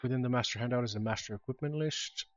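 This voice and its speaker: A man's voice: mid-range voice, quick pace, no room sound, highs cut off, faint music behind. A man speaking as game master, casually talking through rules during an online tabletop game. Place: online voice call.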